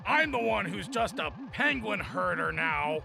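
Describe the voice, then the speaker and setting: Sulky gentle-giant muscle for a cartoon game, slow gravelly delivery that swings widely in pitch, childlike bluster. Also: male voice, expressive, full character voice. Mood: grumbling. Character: big, childlike, sulky male muscle of thief gang. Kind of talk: animated video game voice acting.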